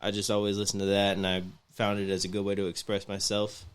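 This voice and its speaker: A man's voice: lowish voice, monotone, quick pace, clean tone. A man in his twenties, a rapper answering interview questions in an easygoing way, full of fillers. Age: 20s. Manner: easygoing, filler-heavy, chuckling. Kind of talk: casual interview talk.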